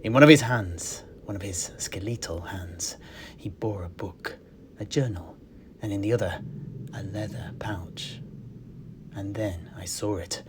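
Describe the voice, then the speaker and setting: A man playing a tavern keeper priest in a fantasy tale, recounting his adventures with deliberate, theatrical phrasing and hushed emphases. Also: fairly low pitch, noisy background, natural voice. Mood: grave, suspenseful. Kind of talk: in-character fantasy storytelling. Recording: music behind the voice. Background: plucked guitar music.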